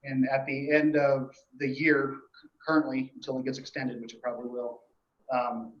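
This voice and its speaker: A man gives an informal report to a board at a meeting, explaining things in a halting, matter-of-fact way. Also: medium pitch, little pitch movement. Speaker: emergency dispatch official reporting to a board. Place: indoor meeting room. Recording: echoey meeting room, dull muffled audio.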